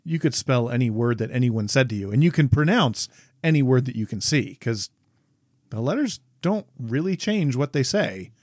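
A man co-hosting a podcast, jokey and quick to laugh in casual conversation. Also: mid-range voice, animated, no room sound, clean studio sound. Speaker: podcast co-host.

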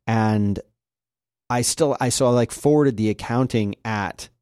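A man's voice: medium pitch, a little slow, darkish tone, dry recording, studio quality. A man co-hosting a podcast in casual chat, rambling with frequent uhs and restarts. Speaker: podcast co-host.

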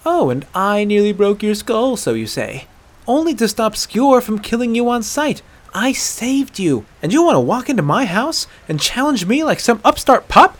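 Man voicing a fierce, high-pitched hot-tempered huntress. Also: very animated, normal speed. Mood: exasperated, indignant. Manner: shouting, swearing, stammering outbursts. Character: fierce, hot-tempered huntress werewolf woman, exasperated and brash. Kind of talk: dramatic fantasy audiobook character voice.

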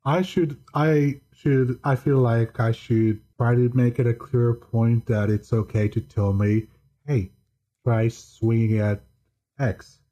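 Deadpan monotone man, mellow voice. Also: mid-range voice, lo-fi sound. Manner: halting, restarts often. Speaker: podcast guest. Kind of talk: casual chat.

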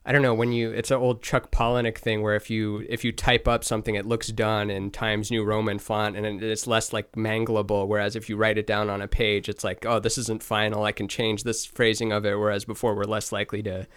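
A man musing slowly in a casual chat, with profane asides. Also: lowish voice, level tone, smooth.

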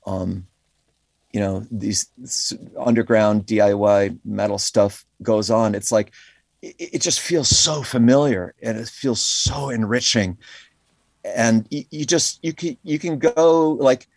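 A man's voice: lowish voice, slow, gravelly, no room sound. Middle-aged man, rambling enthusiastic talker. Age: middle-aged or older. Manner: rambling, enthusiastic, frequent "you know" and "like". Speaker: musician being interviewed. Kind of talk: casual, reflective interview conversation. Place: calling in remotely to a podcast.

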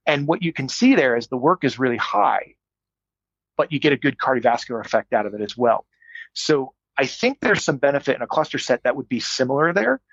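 A man's voice: mid-range voice, fairly bright tone, breathy edge, dry recording, lo-fi sound. A middle-aged man, a strength coach, answering interview questions in a thoughtful, explanatory way with frequent fillers. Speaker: strength coach, podcast guest.

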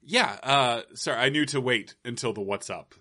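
A man's voice: mid-range voice, moderate pace, pushed and bright, pitched below the performer's own voice. A man improvising an eager male game company employee who keeps breaking into giggles. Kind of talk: improvised comedy sketch.

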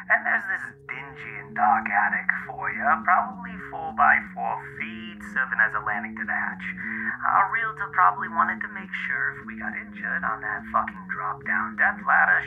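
An actor playing a rattled, skeptical boyfriend in an audio drama, rambling and swearing in slang with nervous hesitations. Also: male voice, on the low side, very animated, normal speed, gravelly, phone-quality sound. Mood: wry, incredulous. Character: rattled, skeptical boyfriend ranting about a creepy rental house. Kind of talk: naturalistic found-footage audio drama monologue.

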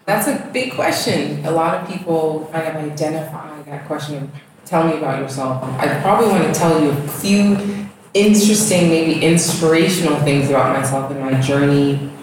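A woman's voice: low-pitched, moderate pace. A woman raised in the US, talking reflectively about her life as a guest in an interview at home, with fillers as she goes. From Ghana, raised in the US. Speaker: guest, yoga studio founder. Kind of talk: casual interview talk. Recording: echoey room, distant mic, background noise.

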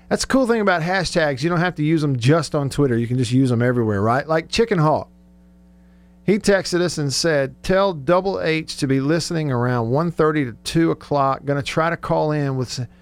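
A man hosting casual sports talk radio, chatty and joking as he reads out listener texts. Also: a little high. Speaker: radio show host. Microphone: close studio radio microphone.

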